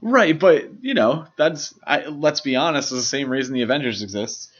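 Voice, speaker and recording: A man's voice: medium pitch, expressive, normal speed, a bit bright. A man co-hosting a podcast, casually discussing film in an enthusiastic, explanatory way. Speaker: podcast co-host. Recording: slightly dull, lo-fi podcast audio.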